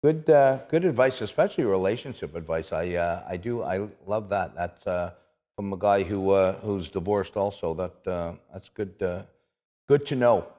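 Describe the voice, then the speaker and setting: A man, chatting casually and haltingly as he hosts an interview show. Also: low voice, mellow. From Canada. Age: 50s. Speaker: talk show host. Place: small indoor studio set, seated on couch. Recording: roomy studio with long reverb.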